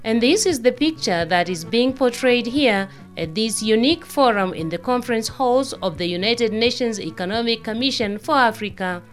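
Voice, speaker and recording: A woman's voice: fairly high pitch, animated, normal speed, hardly any pauses, soft background music. A woman radio reporter reading a scripted news feature.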